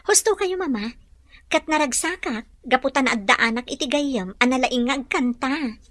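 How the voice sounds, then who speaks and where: A woman's voice: high voice, moderate pace, fairly bright tone, dry recording, clean studio sound. A woman playing an affectionate, cheerful young daughter in an audio drama, with an Ilocano accent and an eager manner. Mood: happy, eager.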